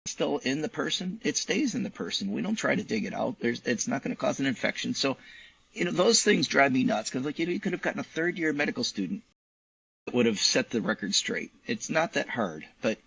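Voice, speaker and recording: Husky-voiced man chatting in an interview. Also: medium pitch, big pitch swings, a little fast, fairly bright tone, low-quality recording. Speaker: guest, physician and novelist. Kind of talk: casual interview conversation.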